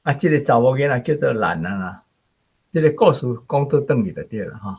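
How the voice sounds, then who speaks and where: 一位台灣口音的男性文學評論者，以閒談口吻解說故事，嗓音沙啞。. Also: male voice, mid-range voice, big pitch swings, fairly quick, slightly rough, narrow, tinny audio. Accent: Taiwanese. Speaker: literary commentator. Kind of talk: conversational explanation of a story.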